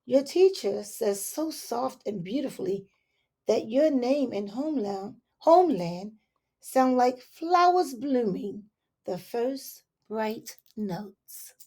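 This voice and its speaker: A woman teacher reading a picture book aloud to young children, expressive and unhurried, with soft asides to her listeners. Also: a little high. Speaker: elementary school teacher.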